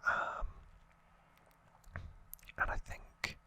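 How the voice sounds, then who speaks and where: Asmr person whispering a story recap, all breath, crisp bright hiss, kinda halting and wry. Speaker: ASMR host.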